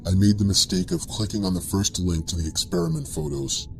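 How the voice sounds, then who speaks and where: A man's voice: sits low, fairly even intonation, fairly quick. A man reading a scripted first-person scary story as a narrator, with music playing behind him.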